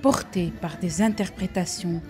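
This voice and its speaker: A woman reporter reading a measured news voice-over. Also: fairly low pitch, faint music behind. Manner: even, measured narration.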